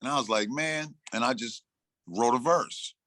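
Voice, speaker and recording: A man in his sixties, an interview guest, telling stories in a loose, animated way and acting out his own lines. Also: medium pitch, normal speed, dry recording, clean studio sound. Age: 60s. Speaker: interview guest, rapper and producer. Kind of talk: casual interview talk.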